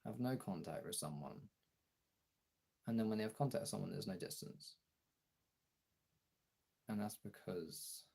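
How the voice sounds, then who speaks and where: Really deep voiced guy with lots of fry and some rasp, just chatting, stops and starts while he figures out what to say. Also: mellow. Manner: halting, searching for words, trailing off. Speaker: streamer. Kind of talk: casual personal chat, thinking aloud.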